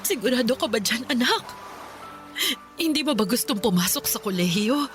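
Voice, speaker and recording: A woman's voice: a little high, animated, normal speed, faint music behind, close to an everyday voice. A woman playing a worried middle-aged mother in a Filipino radio drama, plaintive and full of sighing interjections. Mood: concerned. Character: worried, tearful mother of a struggling poor family.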